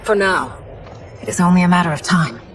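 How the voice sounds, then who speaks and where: A woman's voice: lowish voice, expressive, brisk, slight background noise, lightly acted. A woman as an earnest, regal warrior queen. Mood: resolved. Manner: formal, earnest, dramatic. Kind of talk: dramatic video game cutscene acting. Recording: loud cinematic music over dialogue.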